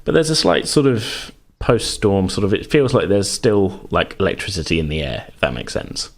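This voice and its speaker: Low-voiced man running a tabletop game. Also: sing-song, moderate pace. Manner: conversational, improvised, hesitant hedging. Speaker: game master. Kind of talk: narrating a tabletop roleplaying game. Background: background music.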